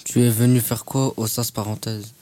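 A man's voice: fairly low pitch, flat delivery, moderate pace, dark tone. A man interviewing a guest, asking plain and direct questions. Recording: music clearly heard behind.

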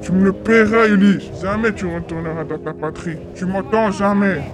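A man's voice: sits high, fairly even intonation, normal speed, noisy background, lightly acted. A male performer playing a menacing, vengeful Cyclops giant in an audio drama. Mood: vengeful threat.

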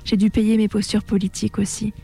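A young woman reading a scripted personal testimony in a measured, composed, literary way. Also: medium pitch, flat delivery, vocal fry, warm tone, breathy edge, soft background music. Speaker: narrator of a personal testimony on a radio show. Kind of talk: scripted first-person narration, literary testimony read aloud.

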